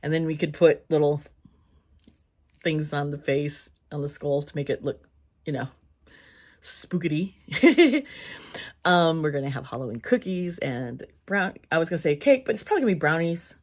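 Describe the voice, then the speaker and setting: A woman with a hoarse, worn voice chatting casually to camera as a channel host, rambling a little and laughing often between thoughts. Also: sits low, expressive, moderate pace, pauses often, darkish tone. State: hoarse, losing her voice. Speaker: tarot reader and channel host.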